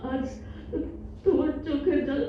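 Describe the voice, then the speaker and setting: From far off in an echoey hall, an actress plays an elderly, loving wife. Her voice is high and slow, sentimental and theatrical, in the manner of a staged radio play. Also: steady tone, a little hiss or hum. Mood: tender, moved. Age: older. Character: tender, joyful old wife moved to tears. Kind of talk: staged audio drama acting. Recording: echoey hall, distant, muffled, music.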